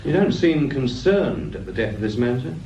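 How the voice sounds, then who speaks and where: An actor playing a cheeky, blunt detective constable in a British radio crime drama, with a pointed, questioning manner. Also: male voice, mid-range voice, big pitch swings, moderate pace, dark tone, highs cut off, lightly acted. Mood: blunt, challenging. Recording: noisy radio drama recording.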